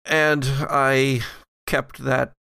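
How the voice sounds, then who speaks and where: A man acts out an earnest, bookish occult scholar in a horror audio game. His mid-pitched voice is heavy with creak, and he speaks haltingly and modestly in a dry, close recording. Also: little pitch movement, bright, forceful tone, dry recording, exaggerated voice. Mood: hesitant, confiding. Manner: halting, deliberate, self-effacing. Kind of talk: improvised in-character actual-play roleplay.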